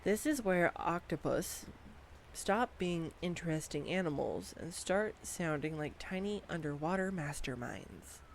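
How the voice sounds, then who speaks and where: Slow, bubbly woman gushing over facts. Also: lowish voice, expressive, slight background noise. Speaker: audio roleplay voice actress. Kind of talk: scripted girlfriend roleplay monologue. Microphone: studio condenser mic.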